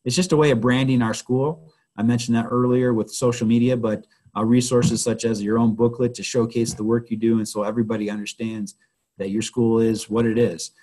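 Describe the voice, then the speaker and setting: A man presenting a school webinar, hoarse and losing his voice, who often clears his throat and speaks haltingly with many fillers. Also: medium pitch, little pitch movement, mellow, low-quality recording. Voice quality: husky.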